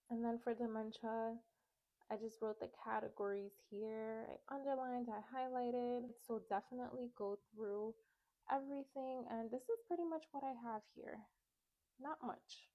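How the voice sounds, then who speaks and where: A woman's voice: medium pitch, mellow, no room sound, poor audio. A young woman, a little congested from a cold, casually walking through medical coding guidelines step by step. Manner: chatty, explains step by step. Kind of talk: casual study walkthrough. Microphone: phone camera.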